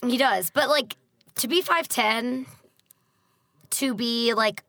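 A young woman in her twenties telling stories in a relaxed casual chat, laughing often. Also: fairly high pitch, slow pace, dry recording, studio quality. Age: 20s. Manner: easygoing storyteller, laughs often. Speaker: guest.

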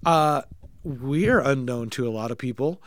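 A man hosting a casual podcast, excitable and tripping over his words, with a cold. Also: mid-range voice, unhurried, no room sound. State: has a cold. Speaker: podcast host. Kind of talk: casual chat.

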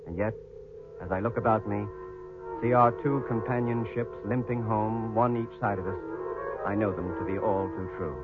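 A male actor as a weary astronaut, low and solemn, narrates his journal with formal, measured care. It is 1950s radio drama, narrow and tinny, with music playing clearly underneath. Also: steady tone, lightly acted. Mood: reflective, weary.

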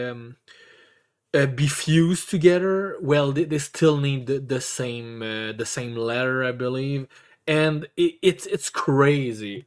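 A man hosting a casual video game podcast, stammering and repeating words often, swearing freely. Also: fairly high pitch. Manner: casually foul-mouthed. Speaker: podcast host. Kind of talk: casual chat about video games.

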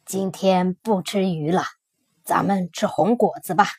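A big gruff male tyrannosaurus, voiced by a woman for a children's storybook. The voice is low, husky and slow, with a dry close sound. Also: expressive, no echo, heavily acted.

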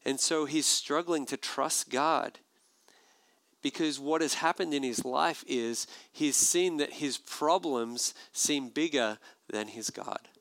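Male pastor, gravelly mid-pitched voice, casual sermon delivery, dry close sound. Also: slow, no echo. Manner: conversational, animated preaching with asides.

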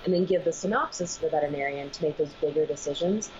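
A woman's voice: deep voice, quick pace, a little mellow. A woman veterinarian speaking as an interview guest over a video call, earnest and thinking aloud as she talks. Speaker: veterinarian and animal massage school director. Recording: muffled video call audio with faint noise.

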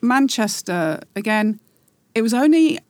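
A woman's voice: fairly high pitch, lively intonation, unhurried, no room sound. A middle-aged woman from England with a faded Geordie accent, talking reflectively as a podcast guest and rambling with many restarts. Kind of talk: casual interview chat.

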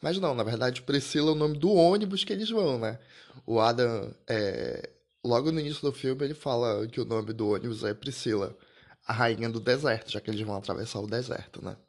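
A man's voice: medium pitch, fairly quick. A young man hosting a casual solo podcast, chatting loosely about a film with frequent asides, restarts and small laughs at himself. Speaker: podcast host.

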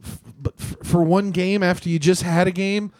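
A man's voice: sits high, steady tone, normal speed, darkish tone, dry recording. Man co-hosting a sports podcast, blunt and profane, dry close sound. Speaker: podcast co-host. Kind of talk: casual sports chat.

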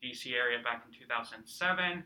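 A man from Peru in his thirties, chatting as a podcast guest, telling stories warmly with lots of fillers. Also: mid-range voice, a little fast. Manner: warm storytelling with many fillers. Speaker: guest, cultural center director. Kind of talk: casual interview chat.

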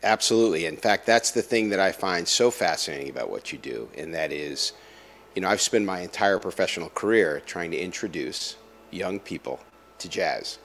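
Deep-voiced man hosting an interview. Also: animated, fairly bright tone, a little hiss or hum. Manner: halting, many restarts and fillers. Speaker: interviewer host. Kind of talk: conversational interview.

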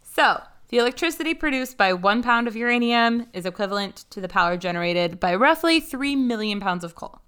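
A young woman hosting a podcast, chatting and teasing in a wry, brisk way and reading quiz questions aloud. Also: a little high, expressive, a bit bright, clear, resonant tone. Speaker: podcast host.

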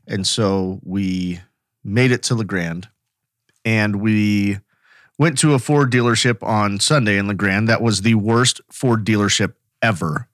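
A man's voice: lowish voice, unhurried, dry recording, clean studio sound. An American man co-hosting a podcast, telling anecdotes in casual everyday speech. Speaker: podcast co-host.

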